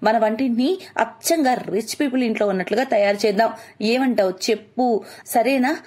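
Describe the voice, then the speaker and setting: A woman's voice: a little high, fairly quick, darkish tone, close to an everyday voice. A woman voicing a discontented, status-hungry young wife in a moral story, in a complaining, insistent manner. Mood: coaxing.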